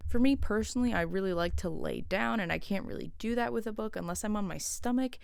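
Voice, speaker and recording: A woman's voice: medium pitch, fast talker, vocal fry, a little mellow, clean tone, no room sound. A young woman vlogging casually to camera, chatty, with dry humor and frequent laughs.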